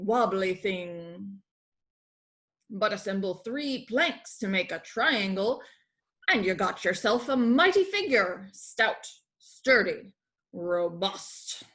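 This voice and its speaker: A woman's voice: medium pitch, a bit bright. A woman voicing a hearty pirate captain creature who teaches woodworking in declamatory pirate speech for a storybook. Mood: emphatic, boastful.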